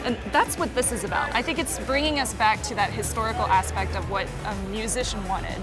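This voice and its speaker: A woman's voice: on the high side, fairly quick. Enthusiastic woman presenter, music behind. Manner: enthusiastic, reacting with interest. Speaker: presenter interviewing a product representative. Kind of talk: casual product interview. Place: trade show booth. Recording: music playing in the background.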